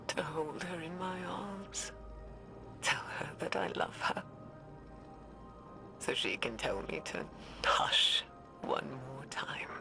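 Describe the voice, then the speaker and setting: An actress playing a weary, guilt-ridden old woman scientist recording a log in a frail, breathy voice for a video game. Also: sits low, full character voice. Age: older. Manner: slow, weary, solemn. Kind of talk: dramatic recorded log monologue. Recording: music behind the voice.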